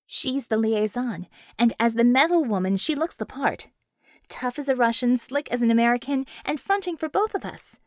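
A woman's voice: fairly high pitch, expressive, moderate pace, no echo, studio quality, voice pushed up, close to an everyday voice. A woman voicing a confident, sly superheroine leader in an audiobook, with an assured, coaxing and emphatic delivery. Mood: smooth, triumphant.

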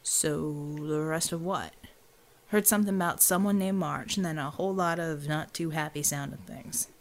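A woman's voice: deep voice, moderate pace, vocal fry, voice pushed down. A woman playing a wry, laid-back American rebel man in an audiobook, with a folksy, casual way of talking.

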